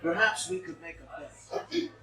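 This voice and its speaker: A performer playing a raggedy young male worker in a table reading, plain-spoken, earnest and quietly defiant. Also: medium pitch, very animated, measured pace. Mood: proposing, earnest. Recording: echoey, distant mic, music behind.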